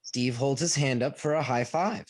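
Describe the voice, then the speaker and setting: A man's voice: medium pitch, normal speed. A man reading stage directions matter-of-factly at a table read over a video call. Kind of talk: scripted narration at a table read. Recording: noisy video call with clicks.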